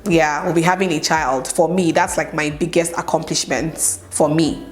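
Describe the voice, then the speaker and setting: A woman's voice: sits low, steady tone, normal speed, soft background music. A young Nigerian woman with a Nigerian accent, chatting casually as she answers viewer questions in a home vlog and often adds "okay?". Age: young adult. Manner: chatty, emphatic, keeps adding "okay?". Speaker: vlogger. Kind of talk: get-to-know-me Q&A vlog.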